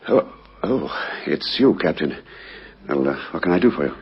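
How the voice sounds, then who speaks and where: Guilty nervous suspect, flustered, defensive, lively pitch, muffled old radio recording. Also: male voice, moderate pace. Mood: uneasy politeness. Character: nervous, guilty male suspect under police questioning. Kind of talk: old radio crime drama acting.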